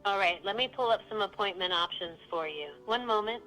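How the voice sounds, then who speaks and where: Bright synthetic female virtual phone receptionist. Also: mid-range voice, brisk, faint music behind, poor audio. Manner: polite, accommodating, neatly phrased. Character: polite female AI virtual assistant for a business. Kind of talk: scripted customer service.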